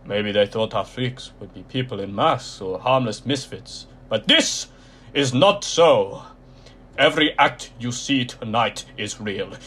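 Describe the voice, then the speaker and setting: A man voicing an ancient circus ringmaster, low and croaky, announcing the show in a menacing, theatrical way. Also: unhurried, a bit bright. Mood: ominous, grand.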